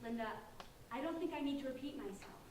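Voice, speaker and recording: A woman's voice: high-pitched, quick pace, darkish tone, close to an everyday voice. A woman playing an earnest, determined sixteen-year-old girl who leads her friends in a staged play reading. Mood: earnest, firm. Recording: distant echoey room, faint noise.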